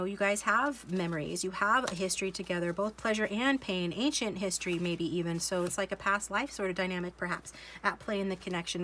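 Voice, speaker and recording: A woman with a cold giving a casual tarot reading, musing along slowly with many fillers and restarts. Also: mid-range voice, a bit bright, slight background noise. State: coming down with a cold, sniffling. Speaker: tarot reader.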